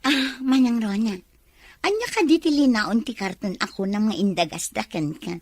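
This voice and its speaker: A woman acts a mocking, curious village gossip with a high, very lively voice. Her delivery is inquisitive and catty, recorded dry for an audio drama. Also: moderate pace, no echo. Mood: nosy. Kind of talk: Ilocano audio drama acting.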